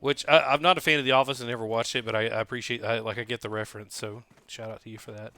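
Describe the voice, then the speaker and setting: Bright-voiced man in his thirties streaming. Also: medium pitch, steady tone, racing, no echo, faint music behind. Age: 30s. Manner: rambling, lots of "like" and restarts, swears freely. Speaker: game streamer. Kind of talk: casual chat while gaming.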